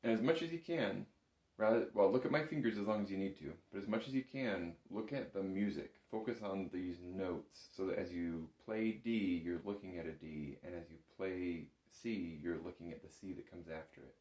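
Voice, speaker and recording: A man's voice: deep voice, moderate pace, a little mellow. A man giving a patient, careful step-by-step music lesson as an instructor, recorded in an echoey room. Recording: roomy, echoing room sound.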